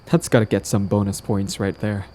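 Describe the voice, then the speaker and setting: Man acting as a smug, mellow flirty villain. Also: lowish voice, flat delivery, moderate pace, lightly acted. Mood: cocky, flirty. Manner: casual, teasing, cajoling, self-justifying. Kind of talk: roleplay audio drama, conversational acting.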